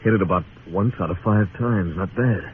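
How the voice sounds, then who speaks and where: An actor playing a tough, laconic frontier marshal in a radio western, speaking and narrating in a terse, deadpan way. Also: male voice, low voice, brisk, slight background noise, lightly acted. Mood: dry, wry. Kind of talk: old-time radio western acting and narration. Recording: tinny old radio recording with music.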